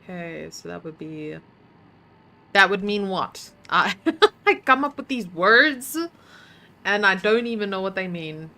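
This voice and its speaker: Slow-talking bright-voiced woman streamer. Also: medium pitch, lively intonation. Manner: mutters to herself while puzzling, chats with viewers. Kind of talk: casual gameplay commentary.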